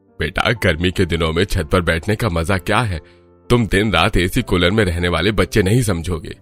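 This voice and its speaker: A man's voice: low voice, normal speed, fairly bright tone, faint music behind. A man voicing an elderly, kindly father-in-law in a cartoon family story. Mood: gently chiding. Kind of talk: Hindi cartoon story dialogue.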